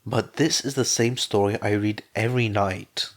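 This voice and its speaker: A man voicing a gentle, weary father who speaks softly and patiently, with a hoarse, sore voice. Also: on the low side, steady tone, moderate pace, close to an everyday voice. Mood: tender. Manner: soft, tired and patient. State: hoarse, losing his voice.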